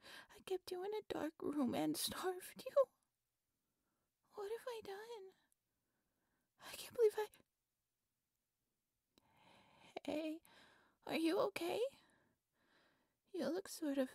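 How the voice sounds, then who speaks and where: A woman playing an obsessive, devoted female cult leader in a roleplay audio drama, with a breathy, reverent, theatrical delivery. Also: very high-pitched, slow, pauses often, darkish tone, no room sound, clean studio sound, exaggerated voice. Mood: despairing. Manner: breathy, reverent, theatrical incantation with nervous stammers.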